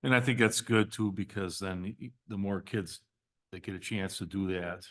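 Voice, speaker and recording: Rambling lowish-voiced committee chair. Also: male voice, moderate pace. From Milwaukee. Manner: friendly, rambling, supportive remarks. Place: village committee meeting room.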